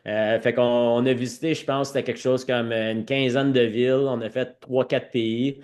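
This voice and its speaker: Male podcast guest, French Canadian accent, medium pitch, conversational storyteller who mixes in English words. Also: little pitch movement, normal speed, darkish tone. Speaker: podcast guest, former hockey player.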